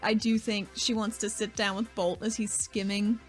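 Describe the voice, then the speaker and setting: A woman's voice: mid-range voice, level tone, moderate pace, soft background music. A woman playing a tabletop role-playing game, chatting casually and narrating what her character does.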